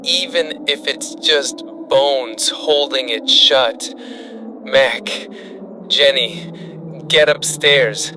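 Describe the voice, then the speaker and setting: Very slow, grim and raspy man acting as a battered outpost leader in a zombie audio drama. He gives terse, defiant commands over clear background noise. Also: mid-range voice, fairly even intonation. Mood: grim commanding. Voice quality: raw, rasping. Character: battered, defiant male outpost leader making last stand. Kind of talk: post-apocalyptic audio drama.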